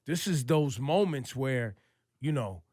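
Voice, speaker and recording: A man's voice: mid-range voice, expressive, slow, a little mellow, no room sound, studio quality. A male radio host asking a guest long, casual, rambling questions. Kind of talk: radio interview.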